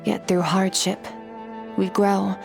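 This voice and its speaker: A woman playing a cool, stoic, ageless warrior in a video game, speaking in a measured, aloof and solemn way. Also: level tone, gravelly. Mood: reflective. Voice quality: low, controlled. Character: cool, stoic, centuries-old warrior woman with unbending resolve. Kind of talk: dramatic video game voice acting. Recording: background music under the voice.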